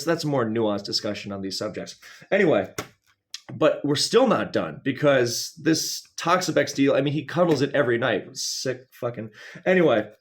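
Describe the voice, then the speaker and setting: A man hosting a casual, rambling comedic video, speaking in a halting, digressive way with wry, mock-serious grand phrasing. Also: medium pitch. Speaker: video host.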